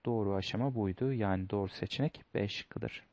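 A man's voice: fairly low pitch, a little fast, darkish tone, dry recording. A man teaching a lesson, going through test questions step by step, reading each line in English and then translating it. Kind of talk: explaining test answers.

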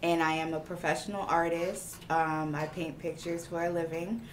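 A thirty-year-old woman from Virginia introduces herself plainly as a painter. Her voice sits a little low and rings in an echoey room with a faint hiss. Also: level tone, moderate pace. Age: 30s. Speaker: guest, painter.

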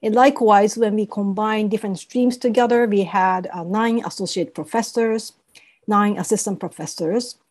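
A woman's voice: medium pitch, steady tone, normal speed, a little mellow. A woman from East Asia, a native Japanese speaker with a Japanese accent, giving a scripted academic presentation as a professor. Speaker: university professor presenting research. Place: video call.

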